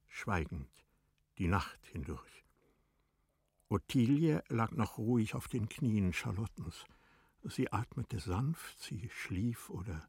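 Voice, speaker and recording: A man reads scripted literary narration for a radio drama. His lowish, husky voice moves slowly and solemnly, recorded very dry and close. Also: dry recording. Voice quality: low, husky. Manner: solemn, deliberate, hushed at times.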